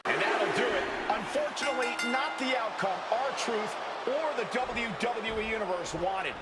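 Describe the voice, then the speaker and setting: Man as dramatic wrestling game announcer, punchy, very high male voice, very loud noise behind. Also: moderate pace, lightly acted. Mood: excited. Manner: dramatic, declarative. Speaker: wrestling commentator. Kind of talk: sports commentary in a video game. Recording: very loud arena background noise.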